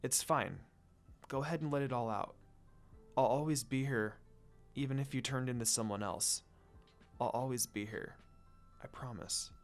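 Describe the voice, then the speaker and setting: A man's voice: medium pitch, normal speed, pauses often, pitched below the performer's own voice, close to an everyday voice. A man voicing an outspoken, caring teenage girl in a story reading, direct and earnest. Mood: soft, comforting. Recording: music under the voice.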